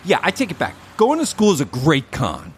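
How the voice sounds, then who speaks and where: An actor playing a cocky, laid-back punk musician with a casual, glib manner in an audio drama. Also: male voice, a little high, wildly swooping pitch, moderate pace, slight background noise. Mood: glib, dismissive.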